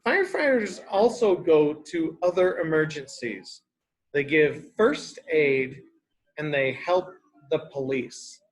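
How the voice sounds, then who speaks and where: A man's voice: on the high side, expressive, takes it slowly, low-quality recording. A man reading a picture book aloud to young children, explaining in simple, repeated words and asking questions as a teacher does.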